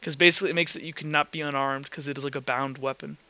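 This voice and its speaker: A man running a tabletop roleplaying game, improvising his narration in a halting way and repeating words as he thinks aloud. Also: on the high side, steady tone, bright, forceful tone, dull audio. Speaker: game master.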